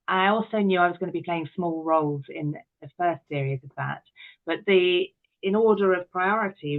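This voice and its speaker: A middle-aged British woman chatting candidly and with self-deprecation as an interview guest, reflecting on her own experiences. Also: fairly low pitch. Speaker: podcast guest, actress, director and writer. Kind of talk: conversational interview, reflective personal anecdotes. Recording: thin phone-like call audio.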